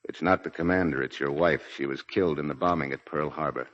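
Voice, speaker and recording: A man's voice: extremely low pitch, fast, fairly bright tone. A man acting as a stoic, fatherly military commander in 1940s radio drama, grave, terse and commanding. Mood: somber. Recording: muffled 1940s radio broadcast recording.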